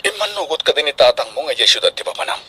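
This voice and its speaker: A man's voice: medium pitch, very animated, rapid-fire, pushed and bright. A man playing a supportive young student friend in a radio drama, conversational and attentive. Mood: attentive, encouraging.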